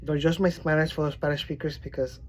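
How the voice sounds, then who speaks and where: A man's voice: a little high, little pitch movement, fast, slight background noise. Man with a mild speech impediment. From Miami, Florida. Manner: rambling, with many restarts and fillers. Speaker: poetry vlogger. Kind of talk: casual vlog talk and poetry reading.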